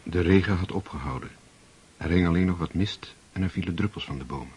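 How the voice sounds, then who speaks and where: A man giving measured, scripted literary narration for a radio play. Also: deep voice, a little mellow, slight background noise.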